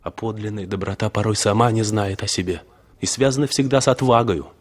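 An actor playing a brooding, bitter passenger who moralizes in a measured, rhetorical way in a 1960s Soviet radio drama. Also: male voice, on the low side, fairly even intonation, lightly acted. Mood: bitter, reflective.

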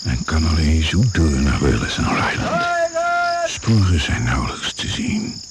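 An actor as the grave narrator of a radio mystery, reading in a measured, poetic, suspenseful manner. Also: male voice, rumbling low, wildly swooping pitch, exaggerated voice. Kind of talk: scripted radio play narration. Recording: noisy old radio play recording.

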